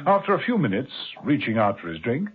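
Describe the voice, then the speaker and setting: A man's voice: medium pitch, big pitch swings, breathy edge. Vivid male radio drama narrator. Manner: measured, vivid storytelling. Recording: tinny old radio broadcast.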